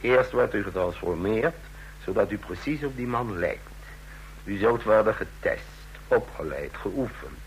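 An actor playing the curt, authoritative chief of a fascist secret police in a vintage radio play. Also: male voice, on the low side, expressive, a little slow, rough recording. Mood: cool, authoritative. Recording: old radio recording, hum and hiss.

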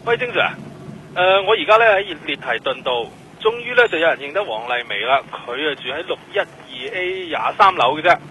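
An actor playing an eager police investigator reporting in, in a Hong Kong Cantonese radio drama. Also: male voice, on the high side, animated, brisk, bright, forceful tone, slight background noise, poor audio. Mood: businesslike.

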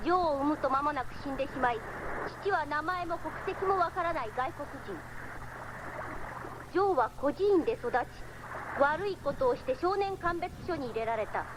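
A woman voicing a calm, wise telepathic baby boy cyborg in a radio drama, speaking in a matter-of-fact, preachy way. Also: high voice, normal speed. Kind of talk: 1970s anime-style radio drama acting. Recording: dull, noisy 1979 radio recording.

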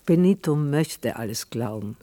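Higher-pitched man narrating radio drama. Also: animated, unhurried, mellow, a little hiss or hum. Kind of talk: scripted radio play narration.

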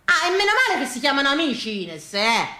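Woman, Tuscan accent, theatrical monologue, bright fairly high voice, deliberate emphatic phrasing, dramatic pauses, roomy recording. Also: very animated. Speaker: solo stage performer, storyteller. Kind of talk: theatrical monologue, rural storytelling. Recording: echoey room or stage sound.

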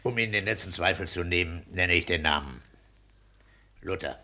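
An actor playing a pompous, self-satisfied petty-bourgeois husband and landlord in a declamatory 1960s German radio play style. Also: male voice, low-pitched, moderate pace, pushed and bright. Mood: solemnly pompous. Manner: pompous, declamatory, bullying joviality.

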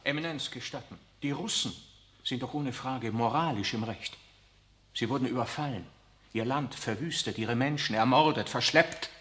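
A man's voice: mid-range voice, normal speed, fairly bright tone, lightly acted. Male actor: earnest young priest pleading with a superior, radio play. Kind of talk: 1960s radio drama acting.

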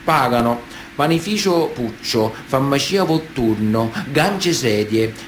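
An actor playing a matter-of-fact, chilling Sicilian man of honour in a radio theatre monologue, with a Sicilian accent and a deliberate, theatrical way of talking. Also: medium pitch, lively intonation, a little hiss or hum. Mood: matter-of-fact, explanatory. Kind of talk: dramatic radio monologue, conversational storytelling.

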